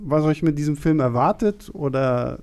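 Male podcast host, mellow and slightly high, casual and hesitant studio chat. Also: lively intonation, normal speed. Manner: loose, hesitant, many fillers.

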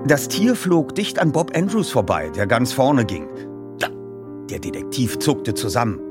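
A man hosting a feature and reading a story aloud as an expressive narrator. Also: mid-range voice, measured pace, over music. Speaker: host and narrator. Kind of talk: podcast introduction and audiobook reading.

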